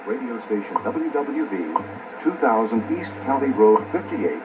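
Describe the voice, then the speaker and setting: A man reading a formal, measured official announcement as a time-signal announcer on a staticky shortwave radio broadcast. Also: medium pitch, animated, phone-quality sound. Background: loud radio static and ticks.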